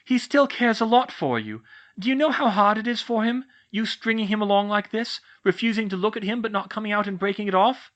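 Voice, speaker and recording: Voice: mid-range voice, lively intonation, a little fast, a bit bright, highs cut off, pitched above the performer's own voice, close to an everyday voice. A narrator voicing a clever, bossy teenage witch girl who speaks in an earnest, insistent, scolding way. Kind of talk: fan fiction audiobook reading.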